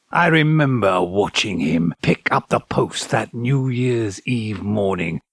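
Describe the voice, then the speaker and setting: Old man in audio drama, gravelly and dry close sound, wistful, theatrical, haunted by old loves. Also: medium pitch, dry recording, full character voice. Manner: theatrical, brooding, self-reproaching.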